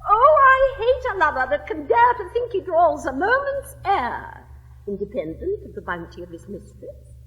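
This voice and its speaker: A woman's voice: very high-pitched, huge pitch swings, moderate pace, bright, forceful tone, in a large hall, muffled recording, heavily acted. A capricious young lady of fashion. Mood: teasing. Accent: English. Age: 70s. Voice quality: affected, swooping theatrical drawl. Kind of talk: 1960s classic stage recording.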